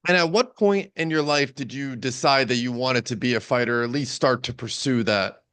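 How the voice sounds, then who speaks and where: A man's voice: medium pitch, moderate pace, a bit bright, smooth, no echo. A man interviewing a fighter, asking questions in a friendly, polite way.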